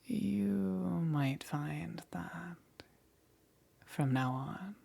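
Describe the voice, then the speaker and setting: A woman voicing a menacing, teasing demon queen in an ASMR roleplay, with a breathy, whispery voice and a coaxing, softly amused, commanding manner. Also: on the low side, extremely slow, full character voice. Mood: possessive, smug.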